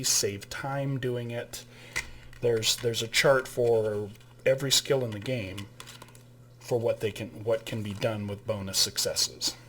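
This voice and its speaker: Man, mid-pitched, game master, casual explainer, easygoing, faint hum in background. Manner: relaxed, with fillers and restarts, showing items to camera.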